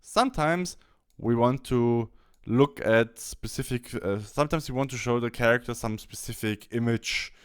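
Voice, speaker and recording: A man presenting a software tutorial, explaining step by step in a halting instructional walkthrough. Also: mid-range voice, clean tone. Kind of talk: instructional screen-capture walkthrough.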